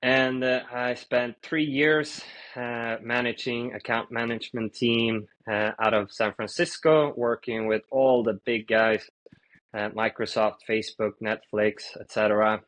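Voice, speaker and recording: A man in his thirties from Sweden, a fintech founder answering interview questions in an easygoing, story-like way with many pauses. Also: medium pitch. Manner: relaxed storytelling with frequent "uh" pauses.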